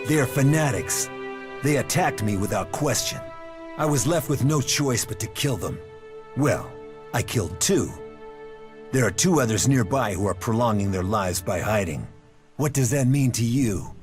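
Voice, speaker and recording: An actor playing a cold, logical renegade soldier in a video game, speaking formally and with measured menace. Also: male voice, medium pitch, animated, close to an everyday voice. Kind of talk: video game dialogue acting. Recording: music under the voice.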